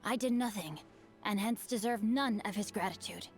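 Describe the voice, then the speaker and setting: A polished young nobleman from a game speaks in florid, courtly phrases with a light, playful edge. His voice sits fairly high, with soft music underneath. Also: moderate pace, breathy edge. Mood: courteous. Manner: florid, formal, playful diction. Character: witty, refined young nobleman swordsman.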